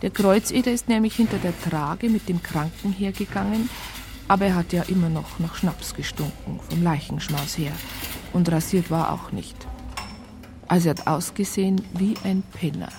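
An actress narrating a radio crime story as a folksy storyteller, husky, chatty and matter-of-fact. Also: female voice, lowish voice, moderate pace, a little mellow, natural voice. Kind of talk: colloquial scripted narration.

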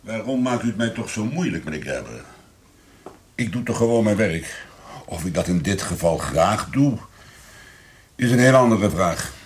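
An actor playing a weary, conscientious police commissioner in a radio drama, with a probing, plain-spoken manner. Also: male voice, lowish voice, animated, normal speed, pauses often, slightly rough. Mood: insistent.

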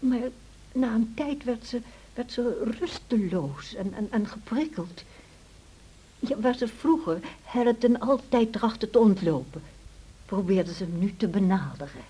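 Mellow, husky chatty housekeeper. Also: female voice, a little high, expressive, a little slow, rough recording. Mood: confiding. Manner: confiding, talkative. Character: kindly, chatty housekeeper and old friend. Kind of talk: radio play acting.

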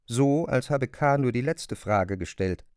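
A male narrator in a literary radio play. His voice sits at a middle pitch and is recorded dry and close, with scripted storytelling phrasing. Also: lively intonation, unhurried, a little mellow, clear, resonant tone, no room sound.

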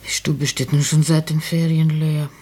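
A woman's voice: low-pitched, little pitch movement, normal speed, darkish tone, husky. An actress playing a fretful, doubting older landlady and mother in a German radio play, speaking in a homely, colloquial way.

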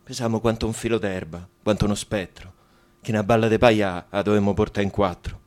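An actor playing a frightened, worn man deported to a wartime camp, with a Roman accent, in halting, bitter radio drama storytelling. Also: on the low side, steady tone, a little mellow, natural voice. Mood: weary, humiliated. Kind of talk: radio drama monologue and dialogue. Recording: music under the voice.

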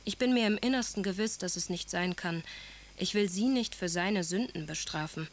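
A woman's voice: medium pitch, moderate pace, lightly acted. Actress as a composed, wounded young noblewoman. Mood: earnest, reflective. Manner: composed, literary, confessional. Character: wounded, devoted young aristocratic English wife, 1815. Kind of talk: German radio drama monologue, memoir-like recollection.

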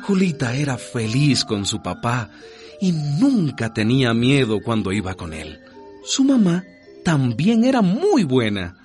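A man's voice: medium pitch, sing-song, measured pace, darkish tone. A man narrating a radio drama for children as a storyteller. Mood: gentle storytelling. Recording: music bed under the voice. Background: music.

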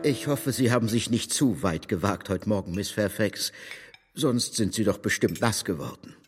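An actor playing a formal, middle-aged married gentleman, grumbling yet kindly, in a literary radio play. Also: on the low side, lively intonation, normal speed, music in the background, natural voice. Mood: politely chiding. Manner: formal, courteous, dry. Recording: studio radio play with hall reverb.

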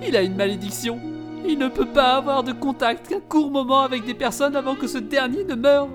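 A man's voice: extremely high pitch, brisk, music in the background, pitched above the performer's own voice. A man playing an absurd, scheming hero of a parody adventure, declaiming with mock seriousness in a comic audio drama. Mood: confessing absurdly.

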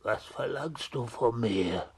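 A man playing a blustering, pleading green ogre in a children's radio play, with a husky, creaky voice. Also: mid-range voice, takes it slowly, exaggerated voice. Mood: wheedling.

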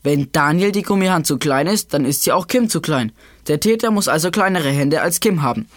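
A man's voice: fairly high pitch, expressive, brisk, dry recording, clean studio sound, close to an everyday voice. A man playing a cheeky, chummy youngster who presents a scripted children's show, talking casually straight to the kids. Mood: chatty, casual. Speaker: host.

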